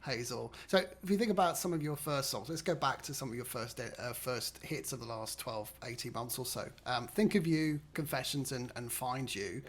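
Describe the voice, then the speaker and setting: A man's voice: medium pitch, moderate pace, few pauses. A man co-hosting an interview, upbeat and promotional, plugging songs to the viewers. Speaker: co-host.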